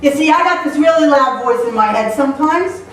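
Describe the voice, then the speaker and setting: Woman, high bright voice, storyteller on stage, picked up from far off in an echoey hall. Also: brisk. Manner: lively, playful, often laughing. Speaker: motivational speaker. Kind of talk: humorous storytelling talk to a live audience. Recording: echoey hall, mic far off, faint noise.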